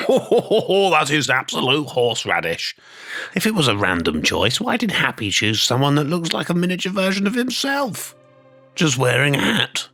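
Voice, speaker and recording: A man's voice: medium pitch, huge pitch swings, moderate pace, few pauses, sharp tone, slightly rough, no room sound, clean studio sound, exaggerated voice. A man playing a sceptical, world-weary storybook character in an audio drama, speaking emphatically and reflectively. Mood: scornful.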